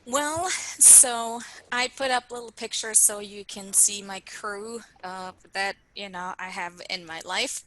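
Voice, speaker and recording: A Swiss woman in her forties, a native German speaker, talks through a webinar with a bright, clear tone. She is chatty and friendly, and her sentences wander with plenty of ums along the way. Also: mid-range voice, poor audio. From Switzerland. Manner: chatty, friendly, many fillers. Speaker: PR consultant guest. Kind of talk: webinar interview.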